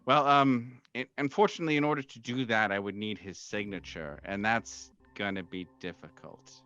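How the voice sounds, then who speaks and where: A man's voice: fairly low pitch, pushed and bright, dry recording, lo-fi sound. A man doing a stammering nervous suit. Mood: nervous, evasive. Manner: stammering, hesitant, halting. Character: nervous middle-aged man in a gray suit, stammering and evasive.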